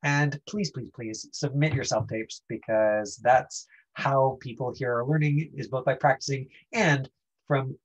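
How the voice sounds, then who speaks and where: A man teaching an online acting class informally, explaining in a halting, conversational way. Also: medium pitch, steady tone, lo-fi sound. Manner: halting, explanatory, frequent 'you know'. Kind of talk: informal lesson talk.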